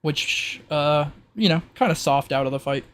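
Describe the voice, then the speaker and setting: A man running an online tabletop roleplay game as game master, halting as he thinks aloud. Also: fairly high pitch, slight background noise.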